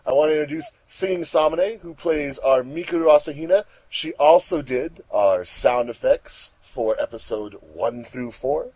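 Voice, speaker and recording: A man's voice: on the high side, moderate pace, hardly any pauses, crowd noise, poor audio. A man hosting a fan commentary track, introducing things casually in an upbeat, announcer-like way with emphatic stresses. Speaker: host of a fandub commentary track.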